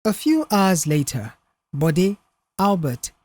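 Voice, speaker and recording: Audiobook narrator reading a dramatic story, really lively intonation, super dry recording. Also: mid-range voice, slow, no room sound.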